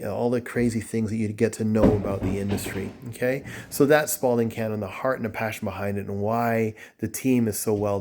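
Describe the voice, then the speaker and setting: A man's voice: lowish voice, darkish tone. A man from Jamaica, talking in a rambling, digressive way as he chats to the camera in a casual vlog. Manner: rambling, digressive, self-promoting. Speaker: vlogger, music school owner.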